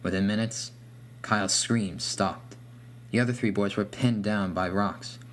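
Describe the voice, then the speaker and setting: A man's voice: on the low side, fairly even intonation, unhurried, slight background noise. A man reading a scripted first-person horror story as its narrator.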